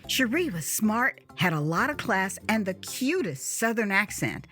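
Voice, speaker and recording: A woman reading her own memoir as dramatized storytelling, with a theatrical, expressive and emphatic delivery. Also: mid-range voice, measured pace, faint music behind. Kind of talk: dramatized first-person storytelling.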